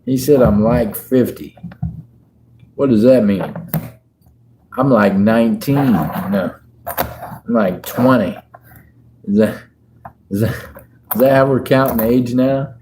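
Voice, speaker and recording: A man's voice: mid-range voice, slow, long pauses, dark tone, slight background noise, low-quality recording. A man, chatting and joking with viewers on a casual livestream. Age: 50s. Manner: laid-back, joking, chats with viewers. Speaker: livestream host. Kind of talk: casual livestream chat while sorting coins.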